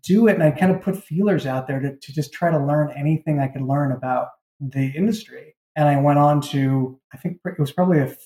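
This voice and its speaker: A man's voice: a little high, a little fast, hardly any pauses, warm tone. A man, a writer, telling his story conversationally in a casual interview. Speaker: writer and audio drama creator. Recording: roomy, echoey sound.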